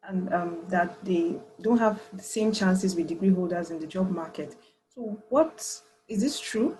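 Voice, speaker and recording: A woman hosts an interview, asking questions in a soft, dark-toned voice and keeping up small murmurs of agreement while her guest talks. A faint hiss of noise sits under her voice. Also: medium pitch, poor audio. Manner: attentive, frequent short murmurs of agreement. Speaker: interview host.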